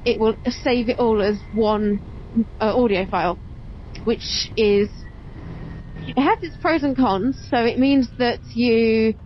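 Woman, higher voice, chatty explainer, muffled helmet intercom mic, casual vlog style. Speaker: motovlog host. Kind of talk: casual vlog chat while riding. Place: on a motorbike on open roads. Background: engine and road noise.

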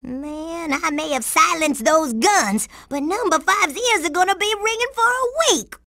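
Cocky kid spy girl for a cartoon game, high bright voice, swoops around, streetwise. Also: animated, measured pace, no echo, studio quality, exaggerated voice. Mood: exasperated. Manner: streetwise slang, refers to herself in third person. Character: sassy, tough, confident young girl secret agent. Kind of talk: cartoon video game voice acting.

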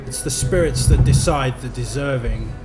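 A man's voice: mid-range voice, moderate pace, lightly acted. A man reading the part of a chatty young pub bartender in a short story, telling a local legend in a friendly, matter-of-fact way over music. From England. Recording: music playing behind.

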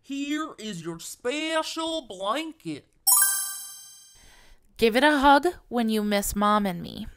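A woman's voice: fairly high pitch, expressive, takes it slowly, long pauses, smooth, dry recording, soft background music. A woman voicing a loving parent in a picture book, coaxing and soothing a small child. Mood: firm but tender. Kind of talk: picture book character reading.

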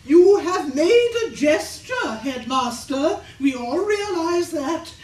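A woman's voice: high-pitched, sing-song, slow, a little mellow, heavily acted. A woman playing a bossy, flustered school official in a British radio drama, with a commanding, dramatic delivery. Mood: scolding. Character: Mrs Dooley, bossy flustered middle-aged school official. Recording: roomy, distant, noisy background.

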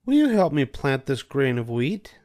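Man voicing a high, determined little hen. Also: sing-song, a little mellow, no room sound, studio quality, close to an everyday voice. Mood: earnest. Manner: deliberate, earnest storybook delivery.

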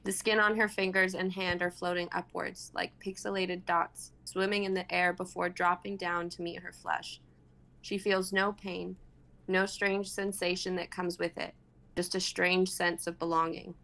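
A woman in her twenties narrates a script in a bright, forceful voice. Her pitch sits a little low as she reads out scene directions dramatically. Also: flat delivery, normal speed, vocal fry, poor audio. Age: 20s. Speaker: narrator reading stage directions. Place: home room on a group video call.

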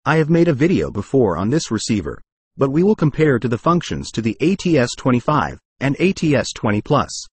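A man's voice: mid-range voice, breathy edge, no echo. A man narrating a scripted product review in a promotional, read-out manner.

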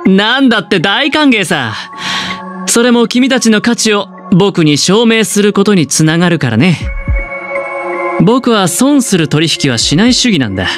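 A man voicing a smug, scheming young gambler in a Japanese anime game, with smooth, teasing, theatrical delivery. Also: sits high, very animated. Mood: smug, confiding. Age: young adult. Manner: smooth, theatrical, teasing, drawn-out dramatic pauses. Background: background game music.

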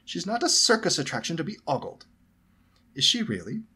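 Man putting on a high-pitched, scolding mother. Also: wildly swooping pitch, fast talker, a bit bright, breathy edge, heavily acted. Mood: disapproving. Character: fussy, scolding motherly woman, protective and stern.

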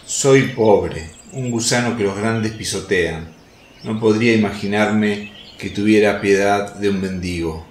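An actor playing a humble, pleading crippled beggar in a radio drama. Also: male voice, lowish voice, measured pace, lightly acted. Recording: roomy sound with faint noise. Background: birdsong, outdoor ambience.

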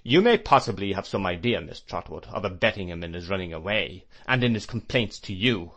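A man reading an audiobook, voicing a cold, stern gentleman who speaks in a measured, formal way. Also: low-pitched, lively intonation, full character voice.

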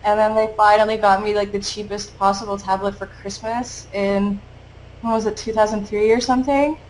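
A woman's voice: medium pitch, fairly even intonation, slight background noise, low-quality recording. A woman in her twenties from the Netherlands, a native Dutch speaker with a mostly American-sounding English accent, chatting casually as an interview guest over a webcam video call. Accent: American-leaning English. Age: 20s. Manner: chatty, quick, frequent laughs and fillers. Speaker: guest artist. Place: small home studio room, indoors.

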